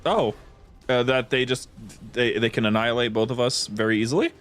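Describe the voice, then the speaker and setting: A man's voice: mid-range voice, fairly quick, fairly bright tone. A man chatting casually as a game streamer, enthusiastic and giggly, with a rambling way of talking. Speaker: streamer co-hosting a mod showcase. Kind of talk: casual gameplay chat. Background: game music.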